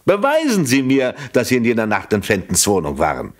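An actor playing a shrewd police inspector in a German radio play, probing with polite, dry irony and skepticism. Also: male voice, mid-range voice, wildly swooping pitch. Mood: skeptical probing. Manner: ironic, polite, measured, probing.

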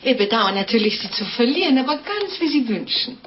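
An old, muffled radio drama with noticeable noise. a sly, knowing innkeeper's wife whose lively, swooping voice is honeyed and insinuating. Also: female voice, fairly high pitch, fairly quick. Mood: courteous. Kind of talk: 1950s German radio play acting. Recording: muffled old 1950s radio recording, clicks, hiss.

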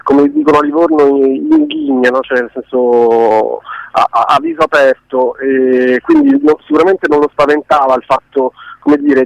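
A man's voice: fairly high pitch, vocal fry, no room sound, lo-fi sound. A man giving an informal interview, explaining reflectively and often circling back to rephrase. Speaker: interviewed documentary co-director.

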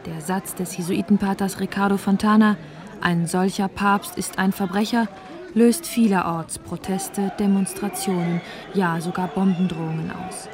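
A woman's voice: mid-range voice, steady tone, normal speed, crowd noise. A woman reading a scripted documentary narration as narrator.

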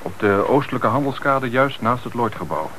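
A man's voice: medium pitch, lively intonation, normal speed, fairly bright tone, natural voice. An actor playing an obliging uniformed policeman who reports dutifully to his superior in a radio play. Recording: noisy old radio drama recording.

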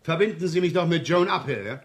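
An actor playing a grumbling, harried police inspector giving brisk orders in a German radio crime drama. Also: male voice, a little high, expressive, slightly rough. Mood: brisk, commanding. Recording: noisy 1970s radio recording.

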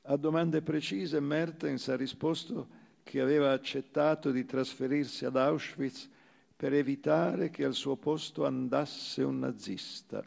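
A man reads literary prose slowly and soberly, as an actor would on stage. His voice is heavily gravelly with fry. It rings out in a hall with a long reverb. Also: fairly high pitch, a little mellow. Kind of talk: theatrical literary reading, first-person memoir.

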